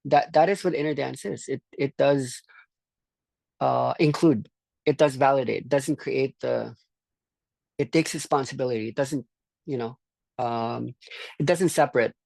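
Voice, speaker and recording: A course leader from the Philippines speaking reflectively to a group in a halting, thoughtful way, often repeating words. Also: medium pitch, gravelly, a little mellow, no echo. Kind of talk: reflective group discussion talk.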